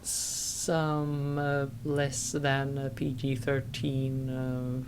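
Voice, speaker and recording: A man giving casual, unscripted game commentary, hesitant and halting with long pauses. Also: medium pitch, monotone, noisy background. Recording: game sound clearly behind. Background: video game cutscene audio.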